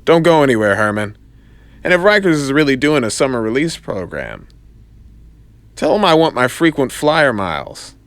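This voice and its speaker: A man voicing a young, quippy, quick-thinking male superhero who talks to himself in an audio drama. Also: medium pitch, sing-song, fairly bright tone, no room sound, pitched above the performer's own voice. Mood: cocky, teasing.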